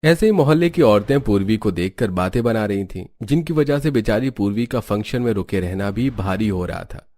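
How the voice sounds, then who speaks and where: A man's voice: lowish voice, animated, fairly quick, darkish tone. A man reading scripted storybook narration for a cartoon family tale.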